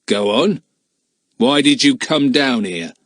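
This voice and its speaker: A man voicing a menacing one-eyed male villain who talks roughly and mockingly, read in a storybook. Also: medium pitch, expressive, slow, fairly bright tone, no room sound, pitched above the performer's own voice. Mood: harsh demanding. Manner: rough, jeering. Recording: dry close mic.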